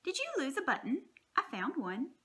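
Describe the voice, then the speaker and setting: A woman's voice: sits high, huge pitch swings, normal speed, pushed and bright, pitched above the performer's own voice, exaggerated voice. A woman voicing a polite, helpful little sparrow from a storybook, with courteous, chirpy questioning.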